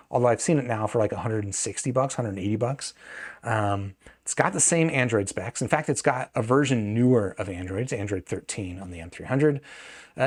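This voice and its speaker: A man giving a casual, off-the-cuff product review in a conversational, opinionated way, adding asides as he goes. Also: medium pitch. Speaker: audio gear reviewer and video host.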